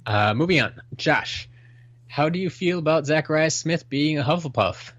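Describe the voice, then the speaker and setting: A man's voice: fairly high pitch, animated, moderate pace, a bit bright, dry recording, lo-fi sound. A man co-hosting a casual podcast, reading listener emails and chatting with many restarts and fillers. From Washington State, US. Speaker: podcast co-host.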